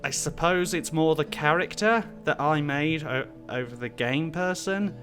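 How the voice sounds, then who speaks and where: A man's voice: fairly high pitch, measured pace, a bit bright, soft background music. Dryly teasing man hosting a Q&A. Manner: dry, teasing banter. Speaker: host reading out viewer questions. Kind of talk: casual Q&A chat with friends.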